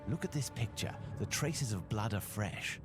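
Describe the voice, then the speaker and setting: A male actor voices an analytical gentleman detective for a video game. His voice sits fairly low but moves expressively. He reasons formally and gravely, with prominent music underneath. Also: expressive, natural voice. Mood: analytical, composed. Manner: measured, formal, deductive. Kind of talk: video game cutscene acting.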